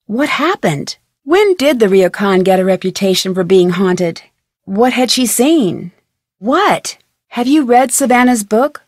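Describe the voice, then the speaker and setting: A woman's voice: on the high side, a little mellow, no echo, studio quality. A woman voicing a curious, polite young amateur detective in a video game, with a friendly, inquisitive delivery. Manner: inquisitive, friendly, clearly articulated questioning. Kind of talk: video game dialogue, single scripted lines.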